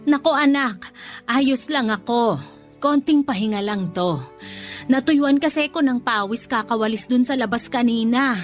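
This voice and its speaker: A high-voiced, devoted older nanny played by a woman speaks in tender, motherly radio drama style. She soothes and reassures with drawn-out feeling, refusing to complain, over soft background music. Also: close to an everyday voice. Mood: gentle reassurance. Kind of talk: Filipino radio drama acting.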